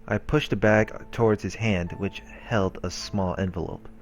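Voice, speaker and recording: A man with a low voice reads visual novel narration aloud as a let's player, dropping in casual asides. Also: fairly even intonation, moderate pace, slight background noise. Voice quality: low-pitched. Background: game music.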